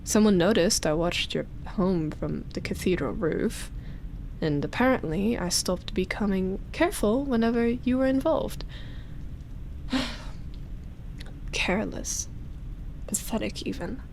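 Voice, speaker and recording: Ancient vampire woman, played by an actress, ASMR roleplay: unhurried, hushed, tenderly possessive, mid-pitched, ambient noise behind. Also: rough recording. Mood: melancholy, confiding. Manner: soft, breathy sighs, slipping into whispers, lingering pauses. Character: lonely, obsessive female vampire, ancient, tender and teasing. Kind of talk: roleplay ASMR, intimate monologue.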